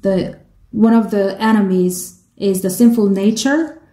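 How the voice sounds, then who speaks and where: A woman with a Korean accent interpreting a sermon into English, measured and halting, with restarts. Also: medium pitch, steady tone, warm tone, roomy sound.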